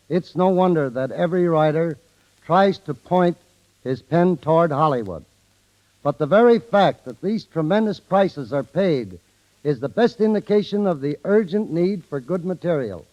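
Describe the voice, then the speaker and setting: 1930s radio guest exec guy, high voice, stiff formal reading, dull old recording. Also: darkish tone. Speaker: guest film studio executive.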